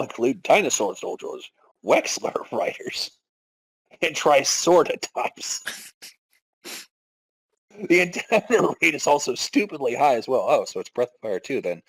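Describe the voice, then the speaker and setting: A man's voice: medium pitch, husky, no room sound, low-quality recording. A man hosting and narrating a comic dramatic reading of a game story. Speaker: podcast host and narrator.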